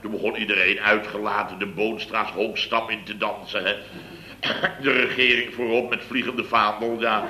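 A blustering contractor boss, bright and roomy. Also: male voice, on the low side, little pitch movement, normal speed, a little hiss or hum. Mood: exasperated. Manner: rambling, exasperated storytelling. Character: blustering middle-aged male building contractor boss. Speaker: construction firm boss. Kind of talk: 1960s radio comedy acting.

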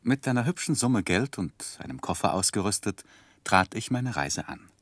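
An actor playing a grown gentleman who looks back on his youth, narrating a 1960s German radio play in a measured, expressive literary manner. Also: fairly low pitch, dry recording. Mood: measured storytelling.

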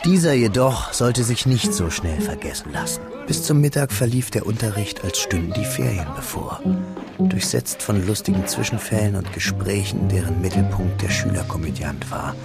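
Low, gravelly storyteller over music. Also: male voice, expressive, normal speed. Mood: reflective. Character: reflective, wistful male narrator recalling his schooldays. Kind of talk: scripted literary narration in radio drama.

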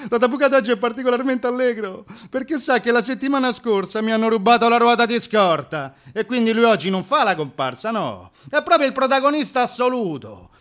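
High-voiced man narrating a comic monologue. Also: expressive, a little fast, hardly any pauses, fairly bright tone. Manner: theatrical, colloquial. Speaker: actor-narrator. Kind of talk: comic first-person radio monologue.

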